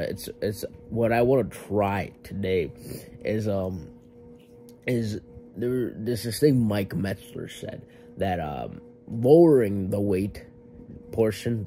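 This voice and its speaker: Young male fitness vlogger, low-ish voice, loose and jokey, talks in stops and starts. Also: dark tone. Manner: rambling, filler-heavy, self-correcting; laid-back, jokey. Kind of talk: casual vlog talk to camera.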